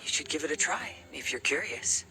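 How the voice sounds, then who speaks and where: An actor voicing a calm, aloof, soft-spoken young adventurer in an anime video game. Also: mid-range voice, fairly quick, natural voice. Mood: calm, musing. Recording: loud game music behind.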